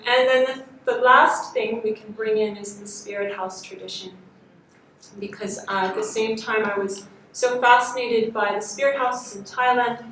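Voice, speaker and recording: A woman who teaches meditation, speaking in a halting, rambling way with many fillers on a panel, recorded from a distance in an echoey room. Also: medium pitch, slight background noise. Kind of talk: panel discussion.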